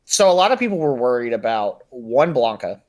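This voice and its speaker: A man's voice: mid-range voice, sing-song, a little fast, a little mellow. An American man co-hosting a podcast, giving his opinions in casual pop-culture conversation. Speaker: podcast co-host.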